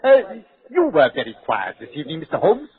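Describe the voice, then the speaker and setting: Polished foreign nobleman, lively and slightly high, tinny old radio sound. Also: fairly quick. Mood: courteous, curious. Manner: polished, ceremonious. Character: suave, courteous, formal foreign count. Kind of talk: 1940s radio acting. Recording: tinny 1940s radio recording.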